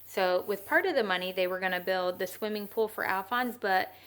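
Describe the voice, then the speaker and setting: A woman's voice: medium pitch, a little fast, a bit bright. A woman teacher reading a children's story aloud and adding simple explanatory asides.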